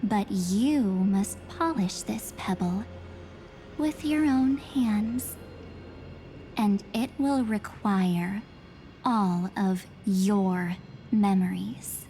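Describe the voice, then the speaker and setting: A woman voicing a calm, mysterious female double who lives inside another girl's memories, speaking slowly in a hushed, measured way. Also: mid-range voice, soft background music. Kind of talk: video game cutscene voice acting.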